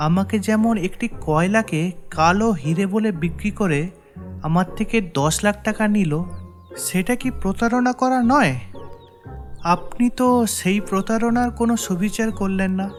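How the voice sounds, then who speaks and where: A man's voice: high voice, normal speed, soft background music, lightly acted. A man voicing a humble, aggrieved village husband in a cartoon, speaking in a deferential, earnest, pleading way. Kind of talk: cartoon voice acting.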